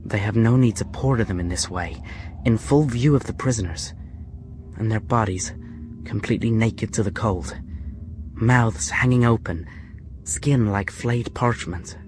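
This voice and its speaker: Actor as an earnest, low-voiced young prisoner. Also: male voice, a little slow, darkish tone, close to an everyday voice. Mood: somber, reflective. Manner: earnest, somber. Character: earnest, worried young American son, a prisoner. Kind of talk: radio drama acting with letter narration. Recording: clear ambient noise behind the voice.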